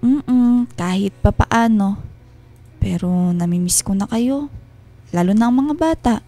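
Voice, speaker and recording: An actress playing an affectionate, homesick and quietly suspicious wife on a call in a radio drama, with a Filipino accent. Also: female voice, fairly high pitch, animated, measured pace. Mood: homesick, loving. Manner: loving, probing, wistful. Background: music.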